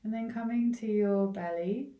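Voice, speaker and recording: A woman leading a guided body scan meditation in a gentle, unhurried way, leaving long pauses between short phrases. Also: mid-range voice, phone-quality sound. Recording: roomy, echoing, distant from the mic.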